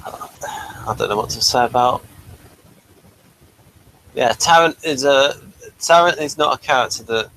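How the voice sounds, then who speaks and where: A man's voice: medium pitch, measured pace, pauses often, a bit bright. A mild-mannered man hosting a casual, unscripted review chat, a little hesitant as he talks. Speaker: review show host. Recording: noisy room ambience.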